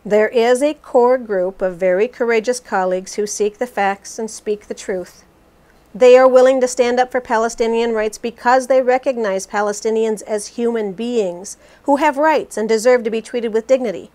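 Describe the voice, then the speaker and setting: A woman voicing a resolute, critical politician in a scripted interview re-enactment, formal and measured. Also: a little high, mellow, natural voice. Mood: earnest.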